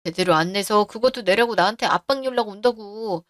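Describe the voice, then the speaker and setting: A woman voicing a middle-aged man who is deep in debt and blames others, in a narrated radio story. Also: brisk, fairly bright tone, no room sound, clean studio sound, natural voice. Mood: pleading. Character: failing middle-aged man, indebted front-man boss.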